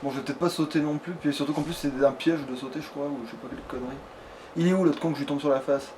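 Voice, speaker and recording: A man plays and comments at a quick clip. His talk is slangy, crude and casual. There is some background noise on the recording. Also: a little high, steady tone. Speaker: let's player at the controls. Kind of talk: casual gaming chat while playing.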